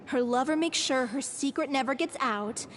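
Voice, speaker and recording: A woman playing a blunt, serious young theatre performer in a video game, pointed and deliberate in her delivery. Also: high-pitched, a bit bright, slight background noise. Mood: challenging.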